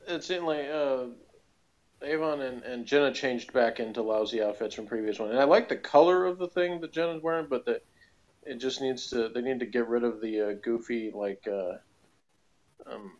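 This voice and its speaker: Male co-host, medium pitch, informal and wry, feeling his way through sentences. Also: moderate pace, dull audio. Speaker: co-host of a TV review discussion. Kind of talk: casual review chat.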